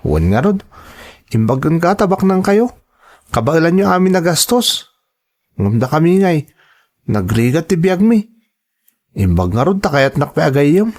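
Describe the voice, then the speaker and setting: A man acting as a young, easygoing college student with an Ilocano accent. His fairly high voice swings around as he grumbles and teases in an audio drama. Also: sing-song, unhurried, long pauses, a little mellow, exaggerated voice. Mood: earnest.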